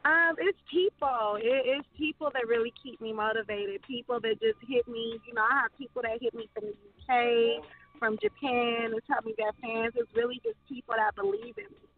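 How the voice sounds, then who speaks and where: A woman's voice: fairly high pitch, normal speed. A woman in her thirties, a guest rapper talking candidly and reflectively in a casual radio interview, laughing easily. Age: 30s. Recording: tinny phone-line sound.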